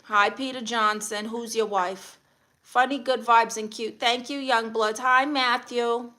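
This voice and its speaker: A woman's voice: a little high, steady tone, measured pace, sharp tone. A woman with a Brooklyn accent hosting a casual livestream, greeting viewers and answering comments in a playfully sassy, blunt way. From Brooklyn, New York, USA. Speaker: livestream host.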